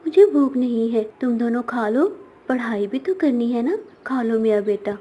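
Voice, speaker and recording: Gentle, soft-toned mother bird played by a woman. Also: on the high side, normal speed, husky. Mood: tender, resigned. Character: poor, self-sacrificing, tender mother bird.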